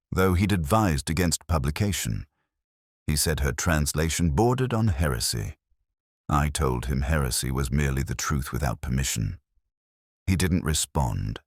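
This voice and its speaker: A man reading a scripted first-person detective story in a measured, deliberate, brooding way. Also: a bass voice, no room sound, studio quality. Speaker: narrator.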